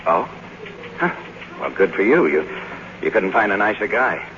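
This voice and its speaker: An actor playing a cynical, world-weary young Hollywood screenwriter in a radio noir drama, wry and laconic. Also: male voice, on the low side, very animated, moderate pace, fairly bright tone, a little hiss or hum. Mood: dry, curious. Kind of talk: 1950s radio drama acting and noir narration. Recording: dull old radio broadcast recording.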